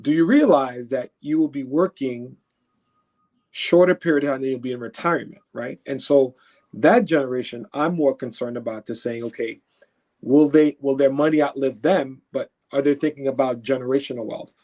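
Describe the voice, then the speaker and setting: Male expert guest from Haiti, mid-pitched, conversational and emphatic, explains by example. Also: moderate pace, darkish tone. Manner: explains through imagined first-person quotes; emphatic, often checking with "right?". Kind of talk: conversational interview answers.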